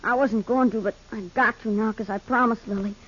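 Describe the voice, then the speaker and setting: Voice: mid-range voice, steady tone, a little fast, a bit bright, lightly acted. A performer playing a sullen, stubborn farm boy with a rural American Western accent in a 1950s radio Western, terse, defiant and grudging. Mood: reluctant, confiding. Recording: dull, muffled 1950s radio recording.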